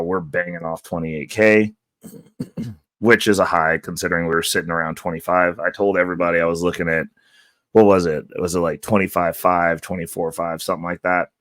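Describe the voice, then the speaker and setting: A man hosting a casual vlog, hoarse from losing his voice, talking in an upbeat, loose, rambling way. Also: low voice, a bit bright, dry recording, studio quality. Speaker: channel host. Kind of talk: casual market-update vlog.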